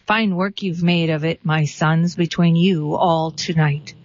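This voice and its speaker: A man's voice: fairly high pitch, steady tone, measured pace, no room sound, natural voice. A woman reading the lines of the old patriarch Noah, distraught, in a poem. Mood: lamenting. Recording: dry, dull lo-fi sound.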